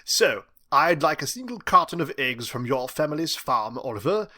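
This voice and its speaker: A man voicing a suave, smug math teacher for a storybook, smooth and polite, with a British accent. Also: fairly high pitch, sing-song, normal speed, bright, forceful tone, exaggerated voice. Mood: smug, breezy. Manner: smooth, polite, drily self-satisfied.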